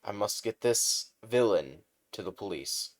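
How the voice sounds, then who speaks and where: Man doing All Might, a booming, grand superhero. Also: animated, measured pace. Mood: heroic, booming. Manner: grand, formal, declaiming. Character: big booming male superhero, heroic and formal. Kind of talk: fan retelling of a hero story.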